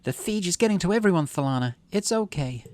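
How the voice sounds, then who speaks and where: Man putting on a high-pitched, gentle female colonist. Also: expressive, quick pace. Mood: consoling. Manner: soothing, consoling.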